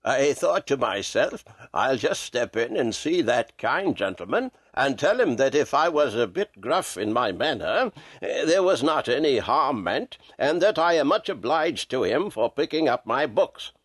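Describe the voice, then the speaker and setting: A man voicing a wizened old book collector with a strange croaking voice, obsequious and hesitant in an old-fashioned polite way, in a storybook reading. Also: medium pitch, sing-song, a little slow, dry recording, pitched below the performer's own voice. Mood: wheedling, apologetic. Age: older.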